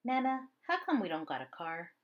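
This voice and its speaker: A woman's voice: medium pitch, very animated, a little fast, dull audio, natural voice. A woman voicing a restless young boy who asks plaintive, complaining questions in folksy grammar for a storybook. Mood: whiny, curious.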